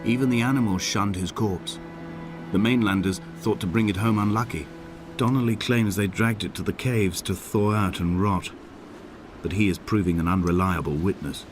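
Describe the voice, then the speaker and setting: An actor playing a lonely, injured castaway who narrates a game in grave, measured, poetic monologue. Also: male voice, on the low side, lightly acted. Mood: grave, bleak. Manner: solemn, measured, literary phrasing. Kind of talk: scripted dramatic monologue, literary narration. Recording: loud music behind the voice. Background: music.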